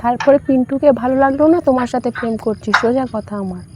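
A woman talks quickly in a fairly high voice. She is bold and teasing, flirting her way through a prank call, with clear outdoor noise around her. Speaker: prank caller. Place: open field outdoors. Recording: noisy outdoor recording.

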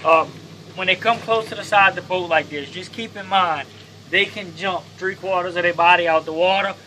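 A man's voice: sits high, normal speed, bright, forceful tone, slight background noise, rough recording. A man with a Louisiana accent giving airboat tour commentary outdoors, explaining and warning his passengers. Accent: Southern US (Louisiana). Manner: cautionary, explaining. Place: open airboat on a bayou, outdoors.

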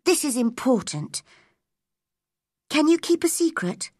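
A woman's voice: sits high, big pitch swings, slow, darkish tone, no echo, clean studio sound, pitched above the performer's own voice, heavily acted. A woman playing a kind but firm, spirited young girl in a storybook, speaking earnestly and insistently. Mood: confiding. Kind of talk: storybook character reading.

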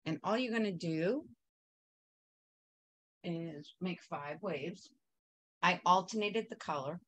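A woman's voice: on the low side, slow pace, fairly bright tone. A woman teaching a quilting technique in a chatty, upbeat way, wandering into asides while she sews. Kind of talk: casual sewing tutorial.

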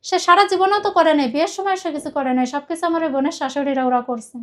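A woman's voice: high-pitched, racing. A woman from Khulna with a Bangladeshi accent, telling her personal story in a rambling, emphatic way and often repeating herself. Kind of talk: personal confessional account in conversation.

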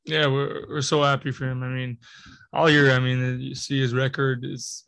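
A young man answers reporters after a game, speaking into a table mic with his phrases broken up by "you know" and "I mean". His pitch barely moves, staying level and even throughout. The sound is dry and close, with no room echo. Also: moderate pace, vocal fry. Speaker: hockey player. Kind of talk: postgame press conference answers. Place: press conference room. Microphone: table mic in front of him.

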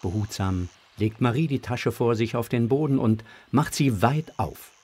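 A man narrating a children's adventure story from a script in a suspenseful, measured way. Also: mid-range voice, expressive, darkish tone, breathy edge.

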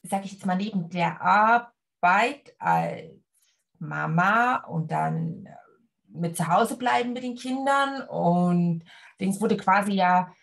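A woman in her forties from Leipzig, a sewing teacher talking casually on a video call, relaxed with many fillers and hand gestures. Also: mid-range voice, slow, gravelly. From Leipzig, Germany. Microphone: webcam on a video call.

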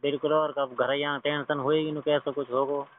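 Higher-voiced man, tinny phone audio. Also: level tone, brisk. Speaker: reporter questioning a caller. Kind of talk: casual phone interview. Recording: tinny phone call recording.

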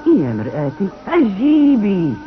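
An actress playing a vain, jealous and wicked countess in a dubbed cartoon, theatrical and commanding. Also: female voice, mid-range voice, huge pitch swings, a little slow, background noise. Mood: imperious, demanding. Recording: muffled old cartoon dub, loud music behind.

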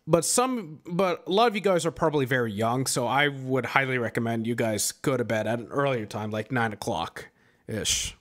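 A man in his twenties, tired and relaxed, answering chat questions in a rambling, casual live stream from a small room at home on a boom-arm mic. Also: normal speed. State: exhausted. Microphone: studio mic on boom arm, headset on.

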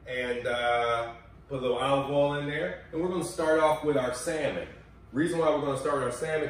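A man in his forties hosting a casual cooking demonstration in a home kitchen, chatty and coaching as he gives health tips. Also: mid-range voice. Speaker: cooking show host. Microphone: far camera mic. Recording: echoey kitchen from far off.

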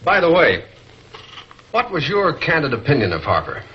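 An actor playing a decisive newspaper publisher who is also a masked crime fighter, in a 1940s serial. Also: male voice, fairly high pitch, huge pitch swings, normal speed. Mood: businesslike. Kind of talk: 1940s film serial acting. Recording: muffled 1940s serial soundtrack, loud music.

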